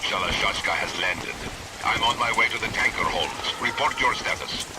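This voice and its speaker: A man's voice: fairly low pitch, lively intonation, moderate pace. A man playing a stern, brooding older mercenary commander with a Russian accent in a cinematic video game. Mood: commanding. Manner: grave, commanding. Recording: rain and loud storm noise behind.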